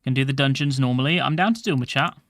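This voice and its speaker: A man streaming a game, chatting casually to his viewers in a soft voice, rambling and swearing offhandedly. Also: medium pitch, rapid-fire, no echo. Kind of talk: casual livestream chat.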